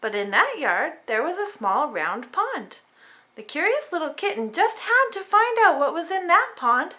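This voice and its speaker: A woman narrates a children's storybook with theatrical flair. Her voice is high and bright, with big swings in pitch. She tells it expressively, as if to very young listeners. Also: normal speed. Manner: animated, dramatic storytelling for small children. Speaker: storybook reader.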